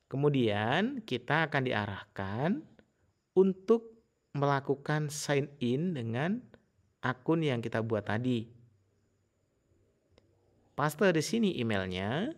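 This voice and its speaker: A man's voice: mid-range voice, very animated, slow pace, pauses often. A man presenting a casual step-by-step tutorial in an instructional manner.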